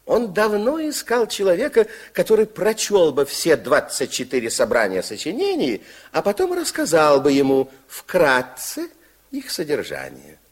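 A man narrating a 1960s radio play as a wry, theatrical storyteller with expressive scripted delivery. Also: fairly high pitch, normal speed.

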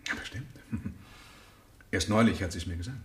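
An actor in a German radio play playing a smooth, calculating murderer who poses as a friendly gentleman. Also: low-pitched, moderate pace, lightly acted. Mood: friendly, probing. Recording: roomy sound with clear noise.

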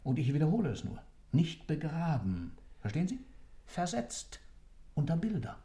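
A man's voice: fairly high pitch, sing-song, moderate pace, warm tone. Fervent, rhetorical male defendant. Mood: pointed. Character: impassioned male defendant confessing a dark creed. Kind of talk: German radio play acting.

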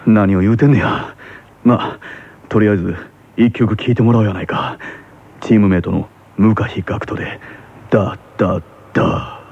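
An actor playing a casual, teasing teenage tennis rival with a Kansai accent and a husky voice, in a radio drama. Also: male voice, on the low side, normal speed, dull audio. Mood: brisk. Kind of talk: scripted comic radio drama.

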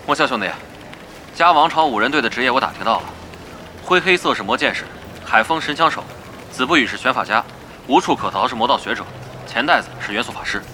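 A businesslike gamer reporting to a comrade in a radio drama. His mid-pitched voice is bright and sharp, with faint ambience behind it. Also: expressive, quick pace, slight background noise. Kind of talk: Chinese radio drama acting.

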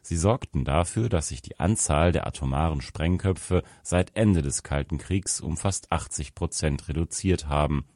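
Very deep-voiced man reading formally. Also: darkish tone, no room sound, clean studio sound. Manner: measured, formal read-aloud delivery. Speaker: narrator reading a magazine article. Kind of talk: scripted narration.

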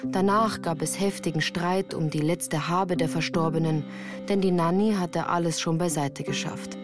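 A woman playing a melancholy narrator who recounts her hard youth in a measured, earnest radio drama style. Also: lowish voice, steady tone, over music, close to an everyday voice. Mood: grave, sorrowful.

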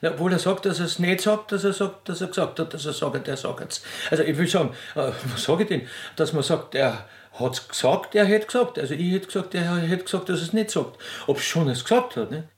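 A man's voice: a little high, expressive, brisk, hardly any pauses, roomy sound, pitched above the performer's own voice, natural voice. A man voicing a fat, flustered Austrian widow who rambles in muddled circles in a radio play. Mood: agitated.

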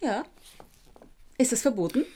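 An actress playing a cool, elegant diamond dealer who answers the police curtly and evasively. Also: female voice, on the high side, animated, a little slow, warm tone, breathy edge. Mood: aloof.

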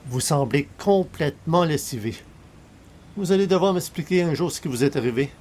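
A man's voice: a little high, lively intonation, normal speed, darkish tone. An actor playing an earnest, polite man who hesitantly and methodically takes charge, in a comedy radio play. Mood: instructing.

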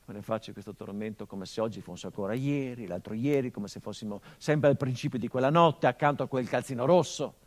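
A man's voice: mid-range voice, a little fast, hardly any pauses, close to an everyday voice. An actor playing a middle-aged son haunted by his father's murder, in an intimate, brooding theatrical monologue for radio. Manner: intimate and brooding, swelling into anguished outbursts. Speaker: stage actor.

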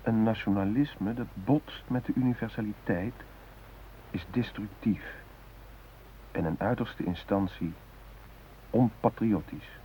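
An earnest, reflective man burdened by guilt, in an old radio play. His voice is low and very mellow, and he thinks aloud in deliberate, introspective phrases. A light hiss lies over the recording. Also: poor audio. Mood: reflective, tender. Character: earnest reflective Dutch man, guilt-ridden humanist, musing to his beloved. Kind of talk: radio drama monologue.

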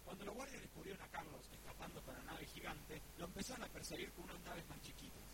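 A man's voice: on the high side, lively intonation, quick pace, natural voice. A man playing an easily flustered storyteller with a Rioplatense Argentine accent, telling a made-up space tale to a girl in a comedy sketch. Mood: dramatic storytelling. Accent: Rioplatense Argentine Spanish. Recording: very noisy amateur recording.

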